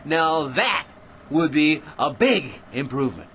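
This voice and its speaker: An actor playing an excitable, self-important eccentric in a radio drama, rambling and stammering through grand schemes with theatrical delivery. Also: male voice, a little high, measured pace, a little hiss or hum, heavily acted. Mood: excited rambling.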